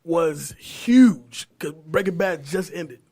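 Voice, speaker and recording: A man's voice: on the high side, expressive, slow pace, dark tone, breathy edge. A man hosting a casual podcast chat, talking in slang with frequent swearing.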